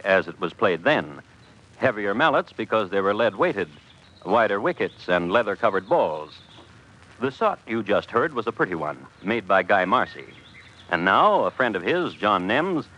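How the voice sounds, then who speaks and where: An actor playing a droll, solemn crime connoisseur, narrating a radio show in a dry, deliberate manner. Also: male voice, fairly low pitch, bright, forceful tone, slight background noise, exaggerated voice. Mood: dry, deadpan. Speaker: host and narrator. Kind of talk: 1950s radio scripted narration. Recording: dull vintage radio recording. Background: music.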